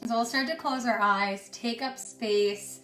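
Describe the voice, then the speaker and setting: A woman teaching yoga and guided meditation in a soothing, unhurried way, pausing between short phrases. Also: fairly high pitch, fairly bright tone. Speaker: yoga instructor. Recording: roomy echo with music underneath. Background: music.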